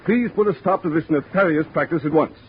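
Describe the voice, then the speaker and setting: A man's voice: a little high, a little fast, natural voice. An actor playing a fussy, formal male company manager dictating a letter in a stiff, officious way, in 1940s radio style. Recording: dull, noisy vintage radio recording.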